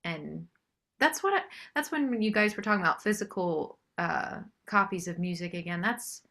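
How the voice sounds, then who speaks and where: A woman's voice: on the low side, animated, normal speed, pushed and bright. A woman speaking as a singer-songwriter guest in a casual interview chat.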